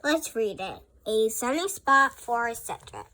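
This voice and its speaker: A young girl reading a picture book aloud as host, in a careful, halting way. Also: on the high side, big pitch swings, sharp tone. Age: child. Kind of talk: storybook read-aloud.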